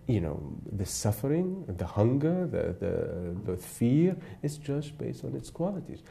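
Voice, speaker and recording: A man from Iraq, a photographer serving on a jury, giving thoughtful, halting interview answers with many fillers and restarts. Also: on the low side, gravelly, dark tone.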